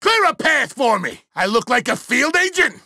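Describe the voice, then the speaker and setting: An actor playing a bossy, irritable older intelligence official in a video game, grumbling and barking orders. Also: male voice, very high voice, big pitch swings, moderate pace, bright, forceful tone, dry recording, studio quality, exaggerated voice. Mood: urgent barking. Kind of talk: video game character dialogue.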